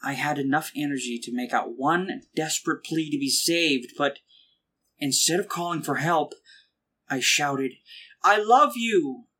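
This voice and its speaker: A man's voice: a little high, lively intonation, unhurried, pushed and bright, heavily acted. A man acting an earnest, hesitant, eager romantic everyman in a comic audio play. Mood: dreamy, fervent.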